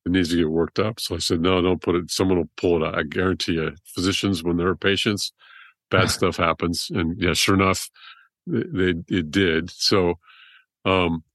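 A middle-aged man, a surgeon guest with a deep voice, rambling through his story in a casual interview. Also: fairly even intonation, normal speed, a bit bright, dry recording. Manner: rambling, restarts often.

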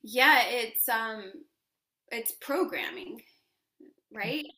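Bright, effusive woman in a roomy space. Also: a little high, sing-song, extremely slow. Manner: effusive, laughs often, spiritual talk. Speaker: yoga teacher and podcast guest. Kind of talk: casual interview chat. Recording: roomy echo.

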